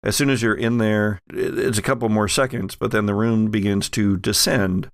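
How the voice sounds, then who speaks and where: A man narrating a horror tabletop game as game master, halting and pausing mid-phrase as he improvises the scene. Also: fairly low pitch, steady tone, no echo, clean studio sound.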